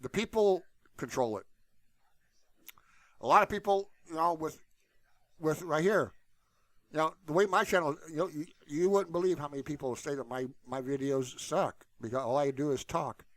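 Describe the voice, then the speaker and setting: Male channel host, slightly higher register, loose informal rambling, very dry close sound. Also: moderate pace, pauses often, no echo. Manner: informal and unscripted, repeatedly saying "you know". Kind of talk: casual, rambling solo talk to viewers.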